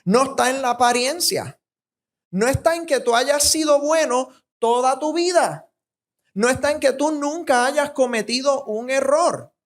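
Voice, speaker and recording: Slow-preaching Puerto Rican man. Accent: Puerto Rican Spanish. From Puerto Rico. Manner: emphatic, rhythmic repetition, rising pitch on key points. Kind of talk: sermon.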